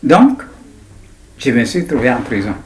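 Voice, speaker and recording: A man in his nineties with an Ivorian accent, recounting his memories in an interview in a deliberate, halting way with repetitions. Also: mid-range voice, sing-song, a little hiss or hum. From Ivory Coast. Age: 90s. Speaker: interviewee, writer. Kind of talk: personal recollection in an interview.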